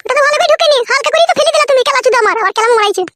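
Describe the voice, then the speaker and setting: Defiant second wife, comedy cartoon. Tiny shrieking voice, rapid-fire brazen taunts, faint noise. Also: female voice, very high voice, animated, fairly bright tone, no room sound. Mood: indignant. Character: cheeky, defiant young second wife, sharp-tongued. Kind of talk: comedy cartoon dub, quarrelling.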